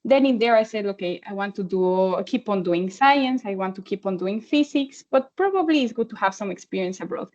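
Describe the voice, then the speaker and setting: A woman's voice: medium pitch, animated, darkish tone. Woman with a mexican accent doing a friendly online talk, lots of ums, slightly muffled call audio. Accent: Mexican Spanish. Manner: friendly, halting, many fillers. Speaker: postdoctoral researcher giving a testimonial. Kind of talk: online presentation. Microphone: video call. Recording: dull lo-fi video call audio.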